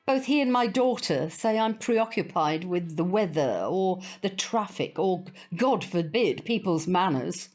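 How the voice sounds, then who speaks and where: A woman's voice: fairly low pitch, expressive, measured pace, few pauses, a little mellow. A woman playing a prim, old-fashioned older British grandmother, opinionated and wistful, in an audio drama. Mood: wistful, resigned. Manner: prim, proper, hesitant around awkward subjects.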